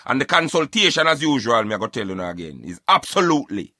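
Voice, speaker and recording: A man's voice: mid-range voice, very animated, quick pace, bright, forceful tone, no echo, studio quality. A man with a Jamaican accent hosting a talk show in Patois, giving emphatic, repetitive commentary peppered with catchphrases. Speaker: radio show host.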